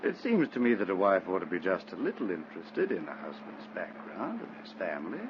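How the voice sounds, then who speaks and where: An actor playing an easygoing, trusting newlywed husband in a vintage radio thriller. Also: male voice, deep voice, huge pitch swings, a little fast. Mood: affable, mildly puzzled. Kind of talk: 1940s-60s radio thriller acting. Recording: muffled, noisy vintage radio recording.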